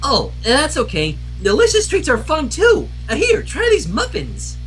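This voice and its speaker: A man's voice: very high-pitched, wildly swooping pitch, a little slow, fairly bright tone, faint music behind, voice pushed up, heavily acted. A man voicing a bubbly, eager young female pony baker who is hyperactively cheerful, in a cartoon fan story. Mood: cheerful, generous.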